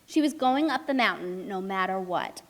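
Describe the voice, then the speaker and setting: A woman's voice: mid-range voice, animated, normal speed, natural voice. A woman playing a chatty, anxious storybook narrator, speaking expressively and emphatically in a children's puppet show. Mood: storytelling.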